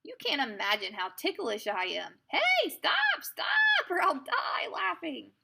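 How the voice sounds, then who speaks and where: A woman's voice: a little high, animated, normal speed, gravelly, heavy background noise. A woman voicing a mischievous, carefree little girl in a storybook, playful and exclamatory. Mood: shrieking, ticklish.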